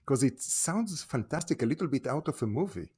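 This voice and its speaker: A man's voice: medium pitch, very animated, a little fast, darkish tone, husky. A man hosting a casual interview podcast, asking long, friendly, rambling questions. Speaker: podcast host.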